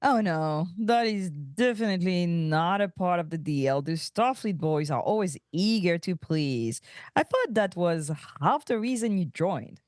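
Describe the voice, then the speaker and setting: A woman playing a brash, sardonic alien pirate aunt in an animated sci-fi table read, with an unhurried, wry delivery. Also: lowish voice, no room sound, studio quality. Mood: teasing.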